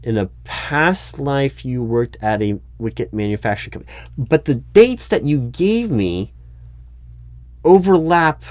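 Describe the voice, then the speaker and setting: A man playing a baffled, exasperated recruiting manager in a one-sided ASMR job interview roleplay, speaking aloud with halting, repeated words. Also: medium pitch, expressive, no echo, lightly acted. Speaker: roleplay performer.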